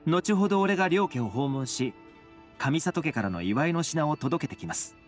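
A man plays a loyal young manservant in an anime-style game. His voice sits a little high and moves in lively swings, friendly and respectful. Faint music runs underneath. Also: moderate pace, natural voice. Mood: dutiful. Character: friendly, dutiful young male retainer, easygoing and loyal.

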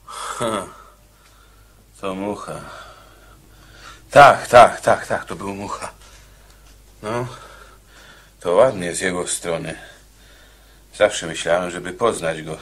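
An actor in a radio play voicing an irritable, bossy condemned convict, grumbling and repeating himself in exasperation. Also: male voice, low voice, steady tone, fairly bright tone, heavily acted. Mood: wistful. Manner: exasperated, grumbling, halting repetitions. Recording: noisy radio drama recording with clicks.

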